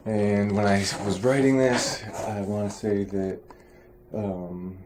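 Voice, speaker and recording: A young man vlogging in a casual, low-energy, nearly monotone way, with many ums and restarts. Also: takes it slowly, background noise. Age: young adult. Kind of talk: casual vlog talk to camera.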